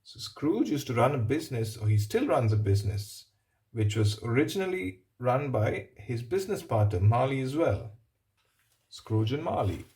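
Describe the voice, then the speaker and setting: A man reading a picture book aloud, pausing for simple explanatory asides and checking in with the listener. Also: mid-range voice, sing-song, dark tone. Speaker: storybook reader.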